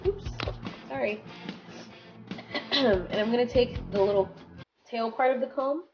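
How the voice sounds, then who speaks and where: A woman's voice: a little high, unhurried. A young woman with a congested voice from a cold, casually chatting through a hair tutorial with lots of fillers. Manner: chatty, filler-heavy, rambling. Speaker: beauty vlogger. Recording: dull lo-fi sound with background music. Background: music.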